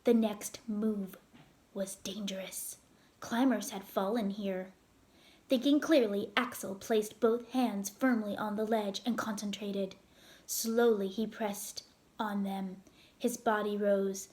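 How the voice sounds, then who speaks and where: A woman narrating a children's story aloud in a deliberate, expressive way. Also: mid-range voice.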